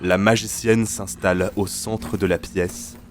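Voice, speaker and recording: A man's voice: low-pitched, steady tone, a little slow, noisy background. A man narrating a fantasy audio drama in a literary, solemn storytelling manner.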